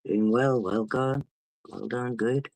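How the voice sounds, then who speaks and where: A man's voice: mid-range voice, steady tone, takes it slowly, gravelly, darkish tone, no echo, rough recording. A man from England with a British accent, casually chatting with viewers on a late-night phone stream because he can't sleep. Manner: chatty, greets viewers by name. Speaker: livestream host.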